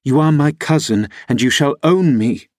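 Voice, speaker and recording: A man's voice: mid-range voice, animated, unhurried, slightly rough, dry recording, studio quality. A man voicing a willful young woman who pleads insistently with her cousin in an audiobook novel.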